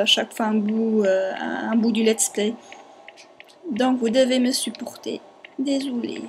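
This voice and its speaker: A young woman whose first language is not French, giving casual let's play commentary, often pausing with fillers as she searches for words. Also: fairly high pitch, a little mellow, slight background noise. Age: young adult.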